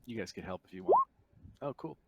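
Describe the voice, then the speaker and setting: Low-voiced man running a tabletop game. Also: animated, brisk, heavy background noise. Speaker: game master. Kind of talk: casual narration of a tabletop roleplaying game.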